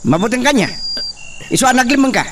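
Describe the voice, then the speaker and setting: An actor with an Ilocano accent playing a domineering, scheming young hacienda master in a radio drama. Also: male voice, very high-pitched, sing-song, fast, a bit bright, distant mic, slight background noise, full character voice. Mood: mocking, angry.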